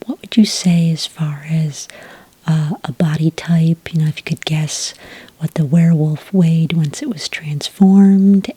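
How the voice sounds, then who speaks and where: A woman speaking in a whispery, breathy soft voice, calm and methodical, as an agent in an ASMR roleplay, close to the microphone. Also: deep voice, no room sound. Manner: calm, methodical questioning with gentle pauses. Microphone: close microphone in a dry room.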